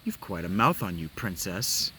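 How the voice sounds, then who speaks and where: A man voicing a stern, mocking male captor from a video game in slow, formal old-fashioned speech. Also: deep voice, sing-song, bright, forceful tone, slight background noise, heavily acted.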